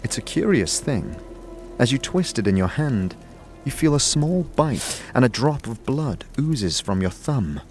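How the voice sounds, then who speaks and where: A man's voice: medium pitch, very animated, a little slow, darkish tone, faint music behind. A man narrating a scripted second-person story for an audio drama.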